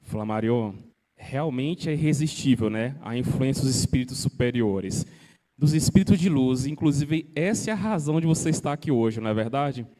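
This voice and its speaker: Male moderator, mellow tone, reads viewer questions to the panel. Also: mid-range voice, expressive, moderate pace. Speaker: live show moderator.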